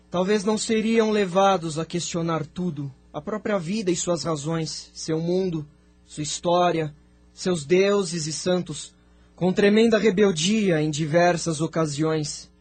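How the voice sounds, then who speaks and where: A venerable spirit philosopher, played by a man with a Brazilian accent. His voice sits quite high for a male, and he declaims formal lines with measured, thoughtful solemnity, as in an audio novela. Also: a little mellow, faint music behind. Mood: solemn, reflective. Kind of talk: scripted audio drama dialogue.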